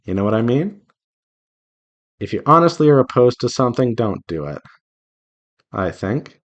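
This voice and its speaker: A man's voice: medium pitch, very animated, moderate pace, highs cut off. A man talking casually and earnestly in a rambling, advice-giving way, like an artist chatting while working. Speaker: visual novel developer and artist hosting a drawing devlog.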